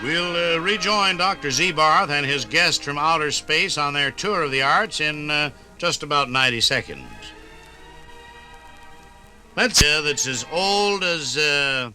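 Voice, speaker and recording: Very bright, sharp-voiced American radio announcer. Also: male voice, on the high side. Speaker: radio announcer, sponsor pitchman. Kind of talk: 1950s radio sponsor skit.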